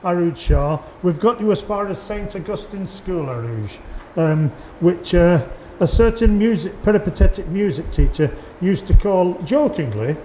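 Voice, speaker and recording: Mellow Mancunian male radio host, echoey room. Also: high voice, expressive, normal speed. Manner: genial, jokey, informal. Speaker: community radio host, interviewer. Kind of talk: casual live radio interview. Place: live radio theatre with audience. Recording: echoey room, faint background noise.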